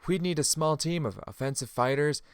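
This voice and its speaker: A man's voice: on the high side, fairly quick, clear, resonant tone, dry recording, studio quality, close to an everyday voice. A man playing a frank, easygoing guild medic in a fantasy game audiobook. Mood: incredulous.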